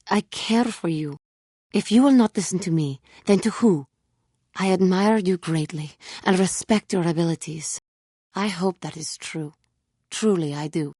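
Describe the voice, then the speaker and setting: An actress playing an earnest, solemn alien Jedi woman warrior in a video game, devoted but self-doubting, speaking in formal, measured phrases that halt with emotion. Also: lowish voice, mellow, husky, dry recording, studio quality. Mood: apprehensive, troubled. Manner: formal, measured diction without contractions, halting when emotional. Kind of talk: scripted video game character dialogue.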